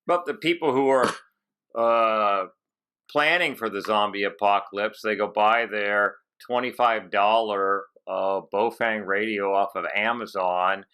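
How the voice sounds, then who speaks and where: A man's voice: on the low side, a little slow, pushed and bright, clean tone. A man hosting a casual interview, chatting and asking questions. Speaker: interviewer, show host.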